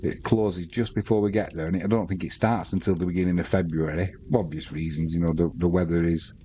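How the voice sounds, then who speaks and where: A man's voice: low-pitched, steady tone, quick pace, warm tone, a little hiss or hum. A man with a Manx accent hosting a live sports stream, chatting casually and reading out viewer comments between bits of match commentary.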